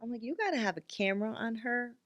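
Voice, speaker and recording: A woman's voice: a little high, expressive, fast talker, a bit bright, lo-fi sound. A woman in her fifties co-hosting a podcast, talking candidly and bluntly, with lots of filler likes. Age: 50s. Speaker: podcast co-host. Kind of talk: casual chat.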